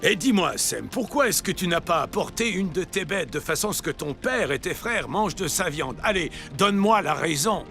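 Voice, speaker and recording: Old father, highish creaky voice, reproachful, animated Bible story, music behind. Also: moderate pace, hardly any pauses, full character voice. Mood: tipsy, accusing. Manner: grumbling, reproachful.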